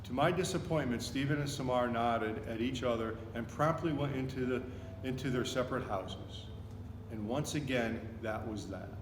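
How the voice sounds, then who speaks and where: A man reading a children's book aloud in an expressive storytelling style. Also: medium pitch, moderate pace. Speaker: volunteer story reader. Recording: echoey, reverberant room with noticeable noise.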